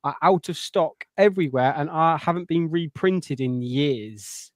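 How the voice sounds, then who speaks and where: A man chatting casually about films as a podcast co-host, halting and often rephrasing as he goes. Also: fairly high pitch, dry recording, clean studio sound. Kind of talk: casual film chat.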